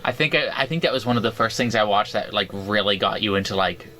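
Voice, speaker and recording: A man co-hosting a casual podcast, tossing in quick asides and laughing along. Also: lowish voice, sharp tone, a little hiss or hum. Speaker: podcast co-host.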